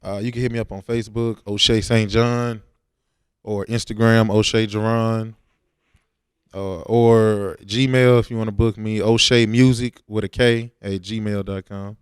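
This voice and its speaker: A man's voice: lowish voice, flat delivery, measured pace, clean tone, no room sound, clean studio sound. A young man, talking casually and slangily as a rapper in an interview. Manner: informal, slangy.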